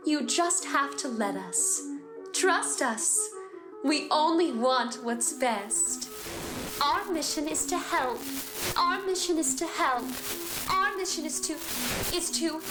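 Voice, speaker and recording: A woman playing an eerily soothing, coaxing institute announcer with syrupy sales patter, in a horror audio drama's mock advert. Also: high-pitched. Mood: glitching, eerie. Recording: echoey, music behind.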